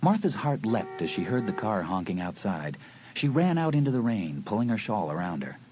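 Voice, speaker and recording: A man's voice: on the low side, very animated, moderate pace, background noise. A man narrating a suspenseful story as scripted audiobook narration. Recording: music and crowd behind narration.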